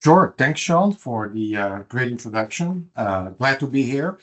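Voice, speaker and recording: A man's voice: medium pitch, sing-song, measured pace, fairly bright tone. A middle-aged man from Belgium with a Flemish accent, a guest expert on a podcast, explaining step by step with frequent fillers and restarts. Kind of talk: podcast interview.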